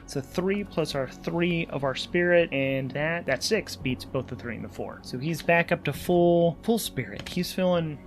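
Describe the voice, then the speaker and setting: A man's voice: a little high, moderate pace, hardly any pauses, music in the background. Man musing aloud through a solo game. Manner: conversational, musing aloud to himself. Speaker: solo tabletop RPG player and podcast host. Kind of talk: thinking-aloud game narration.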